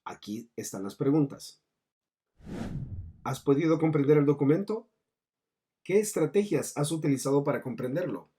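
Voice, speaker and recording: Man, language teacher, muted mellow tone, husky voice, mid pitch, didactic scripted narration. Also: expressive, normal speed, pauses often. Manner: didactic, mixing in French terms. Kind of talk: scripted explanatory lesson narration.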